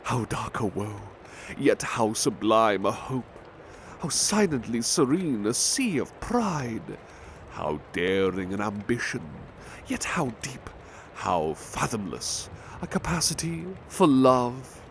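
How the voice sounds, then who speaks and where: A man reading poems aloud in a soft, lingering and expressive way, as a butler in an ASMR roleplay. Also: mid-range voice, slow pace, slight background noise. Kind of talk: slow ASMR poetry reading.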